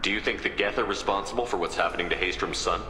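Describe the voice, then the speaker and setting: Brisk, deep-voiced military commander. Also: male voice, sharp tone, a little hiss or hum. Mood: businesslike. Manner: direct, businesslike. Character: steady male military commander, composed, direct, inquisitive. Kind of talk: video game voice acting. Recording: echoey hall reverb, music behind.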